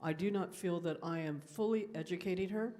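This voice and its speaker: Audience member, female teacher, lower voice, earnest and measured. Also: warm tone. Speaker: teacher asking a question. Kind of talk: audience question at a panel.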